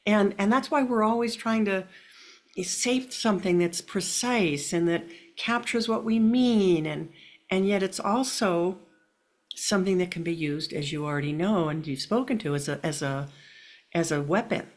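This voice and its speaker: A woman's voice: mid-range voice, normal speed. A woman hosting an interview, asking reflective questions and affirming her guest often. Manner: encouraging, with many soft affirmations. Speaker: interview host.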